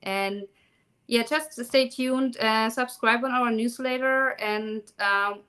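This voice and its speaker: German-accented woman, halting speech. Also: on the high side, fairly even intonation, a bit bright. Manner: halting, many ums and restarts. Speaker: guest, documentary maker. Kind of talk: live online conversation.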